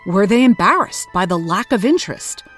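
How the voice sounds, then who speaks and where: An actress playing a thoughtful investigator who hosts and interviews in a documentary style, questioning and measured. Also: female voice, mid-range voice, animated, a bit bright, faint music behind, lightly acted. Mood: curious, reflective. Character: inquisitive, measured investigator of obscure culture, interviewer. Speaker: host and interviewer.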